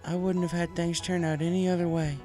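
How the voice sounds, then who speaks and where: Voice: medium pitch, flat delivery, a little fast, mellow, soft background music, natural voice. A narrator voicing a loyal, sincere young farm mare in a storybook reading. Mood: sincere, devoted.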